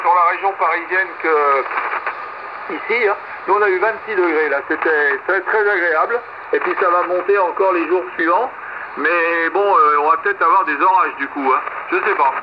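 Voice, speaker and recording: A man talking casually as a ham radio operator, rambling with many fillers and restarts as he passes the mic around. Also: high voice. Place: home radio station. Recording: lo-fi shortwave radio with static.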